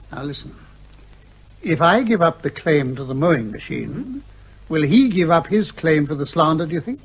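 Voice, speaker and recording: Blustering British major, high-pitched. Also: male voice, animated, unhurried, pauses often, breathy edge, full character voice, low-quality recording. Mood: exasperated, resigned. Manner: blustering, indignant, old-fashioned turns of phrase. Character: exasperated, blustering older major who despises lawyers. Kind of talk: radio comedy drama acting.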